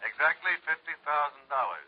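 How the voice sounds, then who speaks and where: A dry, matter-of-fact American insurance man in a 1950s radio play. His voice is husky and lively in pitch, and his talk is brisk. The recording is dull and dated. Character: businesslike male insurance agent handing out a case. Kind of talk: 1950s radio drama acting. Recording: muffled old radio recording.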